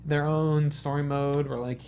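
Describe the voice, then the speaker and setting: A man's voice: a little high, little pitch movement, a little slow, a little mellow, slight background noise. A man co-hosting a casual panel chat about gaming, explaining things in detail with plenty of jargon. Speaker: co-host on a gaming talk show.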